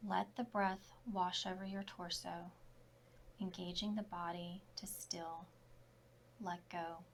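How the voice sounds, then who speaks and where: A woman yoga instructor leading a guided relaxation meditation in short, soft, measured phrases. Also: fairly low pitch, flat delivery, a little hiss or hum.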